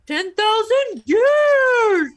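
A woman's voice: very high-pitched, big pitch swings, very slow. A woman hosting a casual podcast, bubbly and giggly as she chats and plays trivia. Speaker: podcast host. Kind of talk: casual chat.

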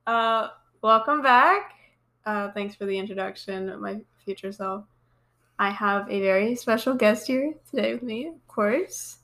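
A woman's voice: mid-range voice, a little slow, a bit bright. A young woman from the United States hosting a casual podcast chat, talking loosely with lots of fillers and "like". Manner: chatty, many fillers and likes. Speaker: podcast host.

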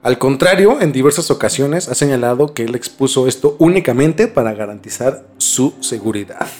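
A man's voice: mid-range voice, expressive, moderate pace, darkish tone. A man hosting a podcast, telling a story conversationally to a co-host and repeating phrases for emphasis. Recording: music bed under the voice.

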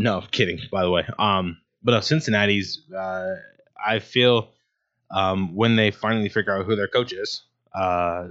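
A man's voice: low-pitched, fairly bright tone. Rambling man with a dull lo-fi sound. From Ohio, USA. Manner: rambling, many ums and restarts. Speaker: sports talk show host. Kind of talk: casual solo sports talk.

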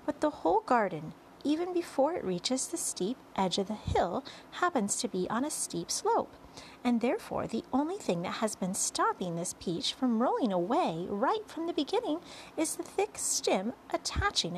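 A gentle lady ladybug from a children's storybook, acted by a woman reader. Her voice is high and very melodic, kindly and confident as she explains and reassures, with slight noise behind it. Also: sing-song, moderate pace, rough recording. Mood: reassuring, eager.

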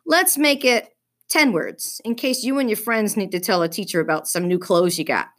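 A woman's voice: mid-range voice, moderate pace, natural voice. A woman reading a children's story, voicing a smug, teasing fifth-grade boy with a mocking, needling manner. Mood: smug, challenging.

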